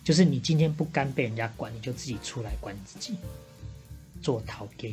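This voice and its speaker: A woman's voice: very deep voice, animated, fast, darkish tone, breathy edge, faint music behind. A woman with a Taiwanese Mandarin accent, chatting casually as a podcast co-host and listening confidant. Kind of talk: casual conversational chat.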